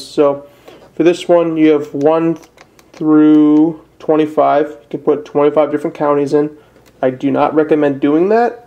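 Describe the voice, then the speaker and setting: A man's voice: a little high, mellow. A young man with a Midwestern American accent giving a casual, somewhat hesitant step-by-step tutorial in plain words. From northern Illinois, USA. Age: young adult. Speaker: amateur how-to presenter. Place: indoors at a desk.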